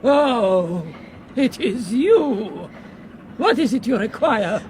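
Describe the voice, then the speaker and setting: Haughty, slow-speaking foreign baroness. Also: female voice, on the high side, big pitch swings, a little mellow, full character voice. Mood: cold, dismissive. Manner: formal, clipped, dismissive. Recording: faint train noise behind.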